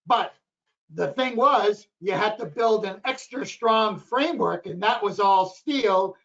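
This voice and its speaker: A hoarse man with a high-pitched voice talks at a town planning board meeting, rambling and repeating himself. The sound is dull and lo-fi, like local cable TV audio. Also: measured pace, fairly bright tone. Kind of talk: meeting discussion.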